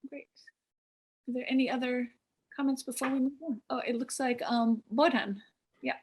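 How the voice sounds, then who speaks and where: A woman's voice: high-pitched, fairly even intonation, moderate pace, slight background noise. A woman facilitating an online workshop discussion from a room on a video call. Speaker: workshop leader.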